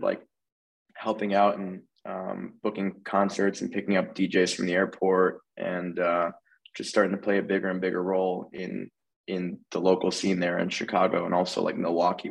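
Young male guest, deep monotone with vocal fry, loose and relaxed. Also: moderate pace, rough recording. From Tampa Bay area, Florida. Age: 20s. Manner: relaxed, peppers speech with "like". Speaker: podcast guest. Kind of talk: casual interview chat.

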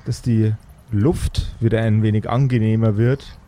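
Mellow male game master narrating. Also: lowish voice, steady tone, unhurried. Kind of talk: improvised narration of tabletop game.

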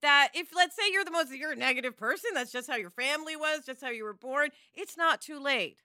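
A woman's voice: sits high, a little fast, pushed and bright, no echo, clean studio sound. A woman co-hosting a podcast, talking casually and emphatically, in an informal way. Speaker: podcast co-host. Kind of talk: casual conversational podcast talk.

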